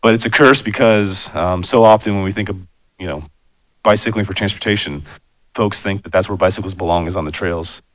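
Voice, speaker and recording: A man with a raspy voice, hoarse from a cold, presenting a plan to a city commission in a loose, conversational way with lots of fillers. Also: low voice, fairly quick, no echo. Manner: conversational, many fillers and asides. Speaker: bicycle and pedestrian planner presenting. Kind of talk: public meeting presentation.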